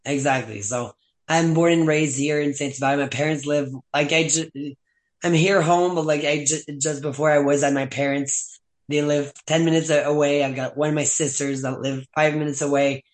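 French-canadian man, chatty, light pitch. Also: steady tone, normal speed. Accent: Québécois French. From Quebec, Canada. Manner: rambling, many "like" fillers, laughs often. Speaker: guest. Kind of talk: casual chat.

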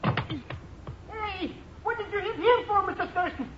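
An actor playing an eager, cheeky small-time crook who talks in chirpy slang, in a 1950s radio drama. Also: male voice, very high-pitched, takes it slowly. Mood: eager, pleading. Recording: muffled, noisy old radio broadcast.

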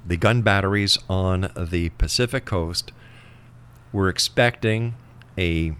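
A man's voice: low voice, slow pace, fairly bright tone, smooth. A man hosting an interview show, talking conversationally and making his points with emphasis.

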